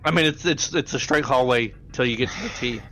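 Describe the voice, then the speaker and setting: A man's voice: medium pitch, brisk, fairly bright tone. A man acting as game master, casually narrating and directing a tabletop roleplaying game.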